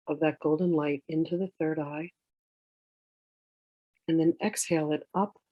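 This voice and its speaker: A meditation teacher guiding in a soothing, unhurried way, with gentle instructions in drawn-out phrases. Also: mid-range voice, dry recording, lo-fi sound. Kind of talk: guided meditation, slow instruction.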